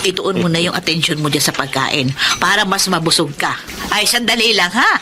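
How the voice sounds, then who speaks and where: A woman's voice: lowish voice, fairly quick, pushed and bright, slight background noise. An actress playing a doting mother who fusses over her grown son's meals and safety in a radio drama. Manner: fussing, tender, motherly.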